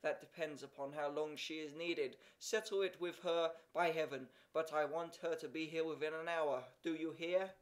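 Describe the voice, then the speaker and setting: A man's voice: fairly high pitch, steady tone, normal speed, hardly any pauses, natural voice. A man playing a stern, scolding country doctor. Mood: stern, angry. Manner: commanding, scolding.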